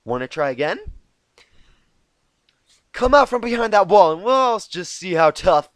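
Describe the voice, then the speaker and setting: Male reader as cocky young cartoon mare, raised pitch, taunting and competitive. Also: big pitch swings, measured pace, no echo, studio quality. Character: brash, cocky young female cartoon pony.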